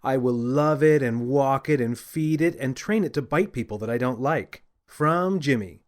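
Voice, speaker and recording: A man's voice: medium pitch, moderate pace, lightly acted. A man reading as a naughty young boy, insistent and demanding, in a children's audiobook. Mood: sweetly pleading. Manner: indignant, bargaining, insistent. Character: cheeky, demanding, naughty little boy letter-writer.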